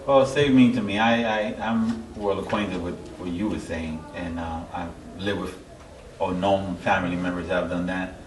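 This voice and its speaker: A middle-aged man from Haiti giving a personal testimony as an interview guest, halting and searching for his words. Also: low voice, fairly even intonation. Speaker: interview guest, church member. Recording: echoey, distant mic, clear background noise.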